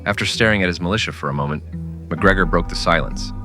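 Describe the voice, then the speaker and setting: A man narrating a scripted western in old-time radio style, with dramatic, deliberate storytelling. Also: low voice, music in the background.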